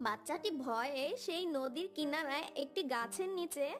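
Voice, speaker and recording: A woman's voice: high voice, expressive, normal speed, fairly bright tone, faint music behind. A woman narrating a scripted children's cartoon story.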